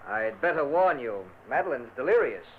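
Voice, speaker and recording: Man playing a sinister aristocrat, menacing, expressive pitch, dull lo-fi, light hiss. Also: normal speed, lightly acted. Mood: grave. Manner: dramatic, menacing, theatrical. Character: brooding, controlling lord of a decaying manor. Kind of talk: vintage radio drama acting. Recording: muffled old radio recording with hiss.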